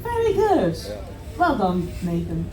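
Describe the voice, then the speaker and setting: A woman hosting a live stage game show, briskly prompting contestants and calling on the audience to vote. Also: mid-range voice, huge pitch swings. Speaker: game show host on a cruise ship. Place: large ship theater with live audience. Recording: echoey theater picked up from far off. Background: audience chatter and applause.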